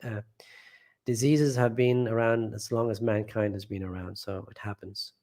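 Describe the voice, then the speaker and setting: A man's voice: lowish voice, fairly even intonation, moderate pace, warm tone. A man hosting a casual live stream from home, reading viewer comments aloud and rambling, often trailing off and restarting.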